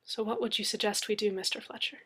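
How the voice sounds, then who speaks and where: A woman's voice: mid-range voice, steady tone, quick pace, a bit bright, breathy edge. A woman voicing a formal, dismissive male London police detective in an audiobook. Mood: stiff, sceptical. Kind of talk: dramatic audiobook character reading.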